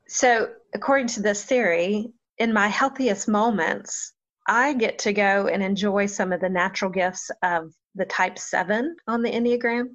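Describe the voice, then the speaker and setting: A woman's voice: medium pitch, a bit bright, highs cut off. A woman pastor giving a thoughtful, reflective interview answer, often laughing at herself.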